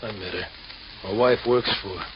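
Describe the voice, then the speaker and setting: A man acts a big, menacing, suspicious husband in old-time radio drama. The voice is lowish and gruff, in clipped phrases. The recording is dull with plain background noise. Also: fairly quick, natural voice. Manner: gruff, terse. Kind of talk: 1940s radio acting.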